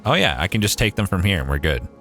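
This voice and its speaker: Canadian streamer, deep and animated, chatty and profane. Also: male voice, fast talker, faint music behind. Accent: Canadian, Maritime. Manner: chatty, swears casually, thinks aloud while playing. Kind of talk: casual gameplay livestream chat.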